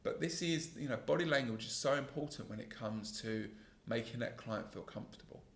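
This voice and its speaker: Gravelly male podcaster in his 30s, mid pitch, calm and earnest, reflective solo monologue, echoey home setup. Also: a little fast. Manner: calm, earnest, self-critical, with frequent restarts. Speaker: podcast host and sales trainer.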